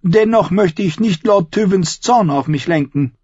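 A man voicing an imperious, anxious noble lady who talks haughtily and briskly. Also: a little mellow, slightly rough, dry recording, highs cut off. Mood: haughty. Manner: haughty, brisk, high-strung.

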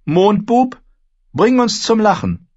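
A brave young noble girl in a fantasy audiobook, acted by a man. She speaks up in a high, earnest, reassuring tone. The recording is a little dull. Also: very animated, slow, darkish tone, exaggerated voice. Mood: calling out.

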